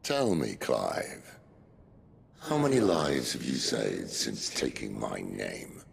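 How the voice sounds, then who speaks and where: A man playing a grim, accusing figure in a dark fantasy video game, with a slow, menacing delivery. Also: a bass voice, big pitch swings, creaky, a little hiss or hum, full character voice. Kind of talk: dramatic video game voice acting.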